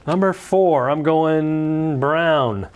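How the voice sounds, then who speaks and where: A man's voice: fairly high pitch, drawn-out words, clean tone. A middle-aged man, a guest panelist on a local sports talk show, speaking hesitantly with many fillers in a small studio on a lapel mic. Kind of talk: casual sports chat. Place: small brick-walled TV studio set.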